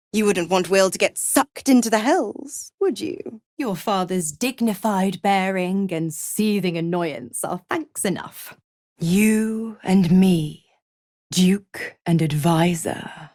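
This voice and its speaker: A cruel, mockingly seductive devil patroness from a video game. She takes her time over each taunt, letting the pitch curl and swoop with theatrical condescension. The sound is close and dry, with no room around it. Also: a little mellow, dry recording. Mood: smug, sardonic. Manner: taunting, drawling, condescending, purring endearments like "pet".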